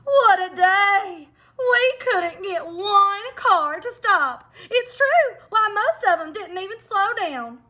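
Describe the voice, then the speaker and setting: A woman acts out a vain storybook hen, gossipy and easily put out. The voice is high and bright, with a fussy, theatrical, exclamatory delivery. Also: lively intonation, slow pace, exaggerated voice. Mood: exasperated. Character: indignant, vain female farm hens, gossipy and showy.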